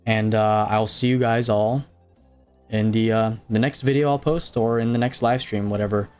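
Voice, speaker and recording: A man's voice: on the low side, steady tone, moderate pace, a little mellow, clear, resonant tone, soft background music. A man with a slight Hawaiian accent, chatting casually on a game live stream, rambling with fillers and restarts as he answers viewers. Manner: rambling, many fillers and restarts, reading chat aloud.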